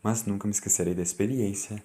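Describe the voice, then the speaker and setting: A man playing a reflective, fearful man of science, narrating an audiobook in the first person in a solemn, deliberate, brooding way. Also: fairly low pitch, fairly even intonation, mellow, natural voice. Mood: haunted, reflective. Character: Doctor Carlos, fearful, reflective man of science recounting events. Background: music.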